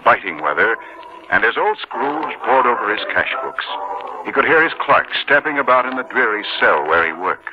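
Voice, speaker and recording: A man's voice: medium pitch, sing-song, normal speed. A man narrating a radio drama in a theatrical 1950s storytelling style. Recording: muffled 1950s radio recording.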